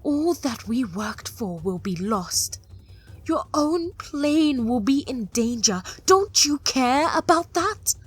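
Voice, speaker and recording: A proud, wronged female mage, played by a woman in an audio drama. Her voice is fairly high and husky. She speaks slowly and with earnest, insistent feeling over faint music. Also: big pitch swings, full character voice. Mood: indignant, urgent. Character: proud, wronged female stone mage, defiant former student.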